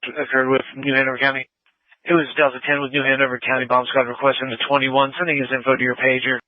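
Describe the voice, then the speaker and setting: A man's voice: mid-range voice, level tone, fast, no room sound. A man handling procedural law enforcement traffic over a two-way radio. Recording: narrowband police dispatch radio audio.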